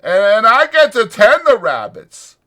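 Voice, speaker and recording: Big simple-minded man, childlike, eager, pleading, very loud, pitched high, audiobook character. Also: slow pace, heavily acted. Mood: excited. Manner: excited, loud, begging.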